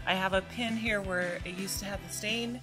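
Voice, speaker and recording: Female home-cleaning vlogger, average pitch, informal chatty delivery, music clearly behind her. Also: steady tone, normal speed. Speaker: cleaning vlog host. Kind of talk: casual product-test voice-over.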